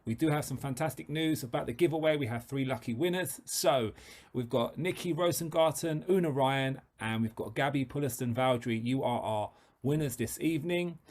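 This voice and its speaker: A man's voice: mid-range voice, normal speed, darkish tone. A man hosting an online book event, giving upbeat, grateful closing thanks. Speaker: event host.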